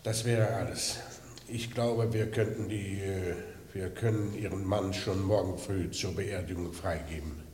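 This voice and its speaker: An actor playing a probing, dry police commissioner in a crime radio play, with a measured way of questioning. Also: male voice, sits low, fairly even intonation, darkish tone, slight background noise. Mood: matter-of-fact, probing. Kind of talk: German radio drama acting.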